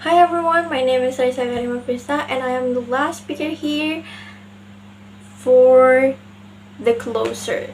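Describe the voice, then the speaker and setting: A young woman with an Indonesian accent, a native Indonesian speaker, explaining her pronunciation mistakes slowly as she searches for words. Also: sits high, a little mellow, a little hiss or hum. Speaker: student. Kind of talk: class presentation on pronunciation.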